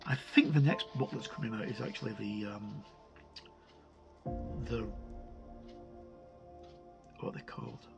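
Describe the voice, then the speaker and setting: A man's voice: fairly low pitch, measured pace, creaky, long pauses. A man giving a casual, unscripted review as he leafs through a book, hesitantly listing items aloud. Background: music.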